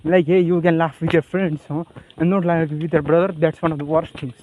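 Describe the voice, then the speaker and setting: A man with a Nepali accent speaking off the cuff in a personal monologue, halting and rambling, often repeating his phrases. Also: on the high side, little pitch movement, narrow, tinny audio, slight background noise. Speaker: vlogger.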